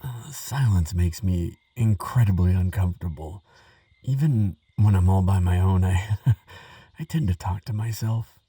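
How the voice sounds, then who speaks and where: A man playing a nervous, kindly, chatty researcher in an audio roleplay, hesitant and gentle, rambling with small stammers. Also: low-pitched, a little slow, husky, no echo, distant mic, full character voice. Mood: awkward, apologetic. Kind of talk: audio roleplay monologue addressed to the listener.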